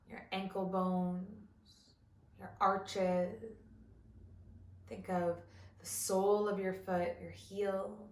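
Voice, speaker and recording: A woman leading a guided body scan meditation as an instructor, gentle and measured, with long pauses between phrases. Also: medium pitch, reverberant.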